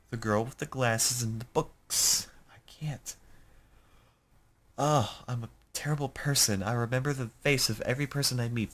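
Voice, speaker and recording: A young man with a deep voice hosting a let's play, reading a visual novel aloud and rambling in self-deprecating asides.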